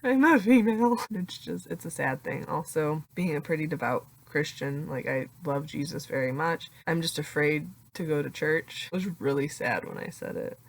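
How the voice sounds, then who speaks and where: A young adult woman with a deeper voice talking casually in a confessional vlog, full of "like" fillers and laughing often. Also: moderate pace, creaky, few pauses, low-quality recording. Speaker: vlogger.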